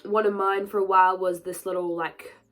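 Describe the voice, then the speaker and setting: A woman's voice: mid-range voice, level tone, a little fast, fairly bright tone. A young woman with a stuffed-up nose from a cold, chatting earnestly to camera with a lot of "like" in her talk. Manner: chatty and earnest, many "like" fillers. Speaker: vlogger. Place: sunny room at home. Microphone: camera a little way back.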